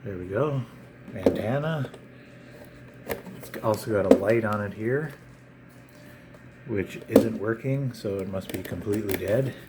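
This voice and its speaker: A man hosting a casual, unscripted unboxing vlog, talking in a halting way with frequent pauses. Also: lowish voice. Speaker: video channel host. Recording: clear background noise.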